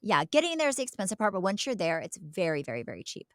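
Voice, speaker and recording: A woman's voice: mid-range voice, wildly swooping pitch, quick pace, no echo, studio quality. A woman co-hosting a podcast in casual, chatty style, emphatic and given to repeating words for stress. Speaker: podcast co-host. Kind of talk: casual chat.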